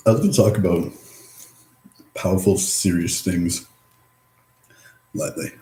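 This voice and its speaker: A man giving a rambling, reflective vlog monologue, mumbling and halting, with drifting pauses between phrases. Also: deep voice, fairly even intonation, warm tone. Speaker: vlogger. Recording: roomy echo.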